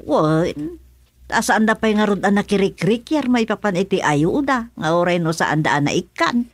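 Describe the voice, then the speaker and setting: An inquisitive village wife who loves sharing rumors. She has an Ilocano Filipino accent and a medium-pitched voice, in a close, dry radio comedy drama recording. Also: very animated, moderate pace, no room sound.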